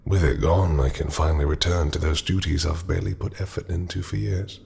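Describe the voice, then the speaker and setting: A male actor voices an ancient, darkly seductive god with an extremely deep, gravelly voice. He is possessive yet tender, murmuring close to a lover in a fantasy romance audio drama. His delivery is slow, with light noise behind it. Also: steady tone, darkish tone. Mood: teasing, commanding. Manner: intimate, slow, dropping into murmurs and whispers.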